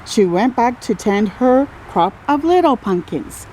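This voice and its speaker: Woman storyteller for a children's read-aloud: high, very animated voice, encouraging asides. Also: measured pace, a little hiss or hum, rough recording. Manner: exclaims and comments encouragingly, drawing out key words. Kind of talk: expressive picture-book reading with friendly asides to young listeners.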